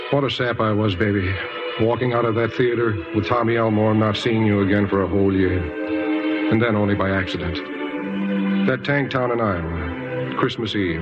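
An actor playing a world-weary, kindhearted press agent in vintage radio style, slangy and sentimental. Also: male voice, on the low side, close to an everyday voice. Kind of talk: 1940s radio acting, first-person narration. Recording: dull vintage radio recording, loud music.

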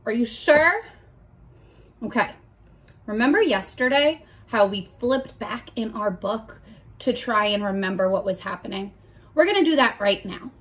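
A young woman leading a read-aloud lesson for children, talking to the camera in an animated, encouraging, child-directed way. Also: fairly high pitch, measured pace. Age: young adult. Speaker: children's teacher. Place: bedroom at home, indoors.

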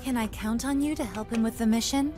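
An actress playing a caring mother on a space expedition in an animated film, speaking gently and coaxingly. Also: on the high side, brisk, lightly acted. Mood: gentle, coaxing. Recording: music behind the voice.

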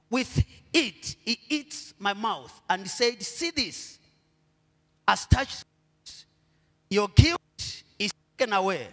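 A middle-aged Zambian man preaching a sermon on a church stage into a handheld mic. He asks the congregation to finish his sentences and swings between quiet murmurs and loud, drawn-out proclamations. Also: high-pitched, big-room sound. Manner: preaching with call-and-response questions to the congregation. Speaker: pastor.

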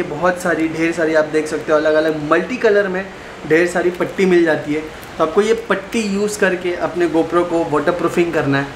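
A young man chatting casually through a step-by-step how-to for his vlog, recorded indoors at a desk on a camera mic. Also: on the high side. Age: young adult. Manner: chatty, explains step by step to viewers. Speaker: motovlogger. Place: small room indoors at a desk. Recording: roomy with clear background noise.

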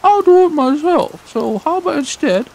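An actor playing an eager young woman in a comedy game redub. Her voice is very high and lively, with some creak. She rambles chirpily, apologizing and correcting herself as she goes. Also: normal speed, poor audio. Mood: rambling.

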